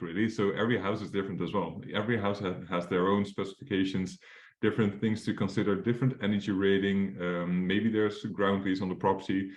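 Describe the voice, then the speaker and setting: A young man from the Netherlands walks webinar viewers through mortgages in a loose, hesitant way, with plenty of fillers and restarts. His voice sits very low. The sound is roomy and echoey. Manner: casual, frequent fillers and restarts. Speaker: mortgage advisor. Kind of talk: webinar presentation.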